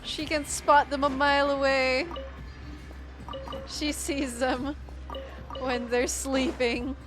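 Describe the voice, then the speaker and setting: A woman's voice: high voice, flat delivery, slow pace, over music, rough recording. A woman from the Philippines doing casual live gameplay commentary as a streamer, playful and slangy. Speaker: game streamer.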